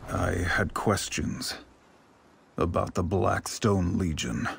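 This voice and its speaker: An actor playing a grave, reflective knight who narrates a war in a video game, measured and solemn. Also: male voice, sits low, fairly even intonation, vocal fry, husky, full character voice. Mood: brooding. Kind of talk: dramatic scripted narration. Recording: loud music behind the voice. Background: loud music.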